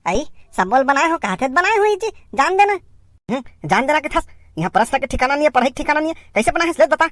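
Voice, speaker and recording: A high, closely recorded, dry voice of a nagging village parent in a comic cartoon, acted by a woman. The accent is from Chhattisgarh, and the delivery is strict and exasperated, full of sharp questions. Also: sing-song, brisk, no room sound.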